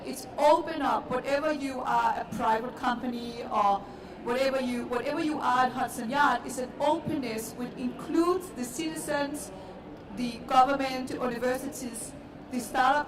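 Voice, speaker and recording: Danish woman, high voice, echoey hall. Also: normal speed. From Denmark. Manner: earnest, repetitive, emphatic. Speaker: panelist. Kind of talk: panel discussion. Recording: echoey hall, distant mic, noisy.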